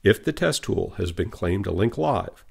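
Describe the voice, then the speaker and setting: A man narrating a scripted software tutorial in a measured, instructional manner. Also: low voice, lively intonation, creaky, husky.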